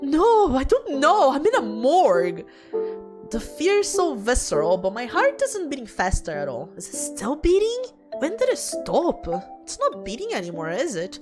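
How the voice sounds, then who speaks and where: Woman reading as anxious dead young woman, animated pitch. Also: moderate pace. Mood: shaken, fearful. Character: anxious, self-doubting young dead woman narrating her afterlife. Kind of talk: dramatic reading of visual novel text. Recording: music behind the voice.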